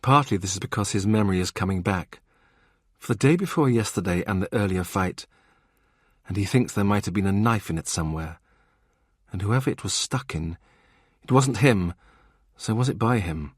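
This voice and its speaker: A man narrating a historical novel audiobook in a calm, even storytelling manner. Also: lowish voice, normal speed, breathy edge, no room sound, studio quality. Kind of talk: scripted narration.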